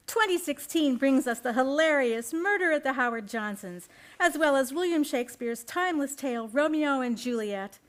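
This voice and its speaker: A woman's voice: high-pitched, a bit bright. A woman presenting a scripted theater advert as acted dialogue.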